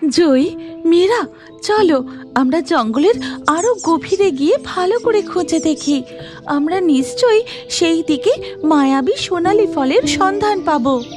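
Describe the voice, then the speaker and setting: Casting: woman as delicate young winged fairy, cartoon; very high, plaintive, girlish, animated pitch. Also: measured pace, music in the background. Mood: hopeful. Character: anxious beautiful young winged female fairy. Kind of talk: fairy-tale cartoon dialogue.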